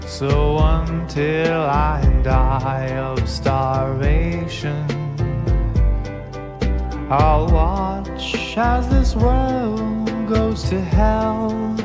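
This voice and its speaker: A man playing a doomed musician singing a wry, resigned farewell in an audio drama. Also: fairly high pitch. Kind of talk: slow theatrical singing over music.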